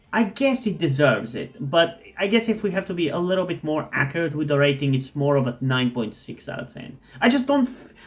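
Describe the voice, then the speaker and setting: Rambling man reacting to music. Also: on the high side. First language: not English. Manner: thoughtful, rambling, with many "like"s and restarts. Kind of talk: casual music reaction commentary.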